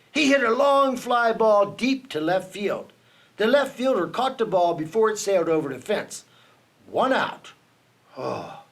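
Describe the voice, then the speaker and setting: A man reads a children's storybook aloud at an unhurried pace, his fairly high voice swinging widely as he builds suspense. He leans into dramatic pauses like a storyteller for young listeners. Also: a bit bright. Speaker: children's book reader for a team reading program. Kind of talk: reading a children's picture book aloud.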